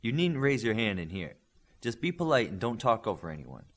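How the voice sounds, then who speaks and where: A man's voice: fairly low pitch, very animated, fairly quick. A man voicing a poised young female teacher in audiobook dialogue, formal, precise and self-assured. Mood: poised, polite.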